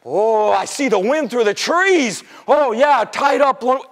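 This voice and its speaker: A man's voice: very high-pitched, animated, unhurried, heavily acted. A man voicing a big, greedy, easily fooled tiger who blusters and stammers in an oral folktale. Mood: panicky, blustering. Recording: echoey hall with long reverb.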